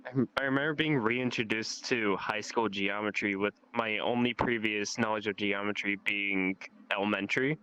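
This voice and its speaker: A man's voice: fairly low pitch, steady tone, normal speed, hardly any pauses, pushed and bright, clean tone, low-quality recording. A teenage young man in a casual, rambling chat, swearing offhandedly and leaning on "like". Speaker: guest telling life experiences. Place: online voice chat in a VR social game.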